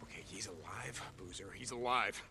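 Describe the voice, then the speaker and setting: A man acting an urgent, troubled biker drifter in a video game, halting yet insistent. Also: on the low side, close to an everyday voice. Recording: noisy vehicle ambience.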